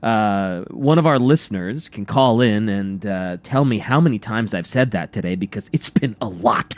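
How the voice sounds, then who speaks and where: A man co-hosting a radio show with casual, reactive banter, rambling and haltingly repeating his phrases. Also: lowish voice, animated.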